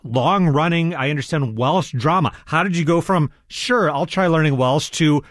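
A man's voice: a little high, normal speed, no room sound, clean studio sound. A man hosting an interview, asking questions in a friendly, curious and self-deprecating way. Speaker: interview host. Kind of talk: conversational interview.

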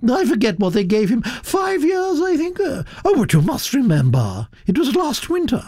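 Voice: mid-range voice, huge pitch swings, normal speed, dark tone, husky, no echo, clean studio sound, voice pushed up, full character voice. A narrator voicing a blunt, gossipy elderly duchess in an audiobook, her voice lifted well above the narrator's own. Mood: gossiping. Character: imperious elderly aristocratic duchess. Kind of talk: audiobook character voice.

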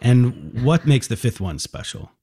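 A radio host interviewing a young musician, speaking gently and encouragingly and explaining musical terms in plain words. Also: medium pitch, big pitch swings, a little slow, slightly rough.

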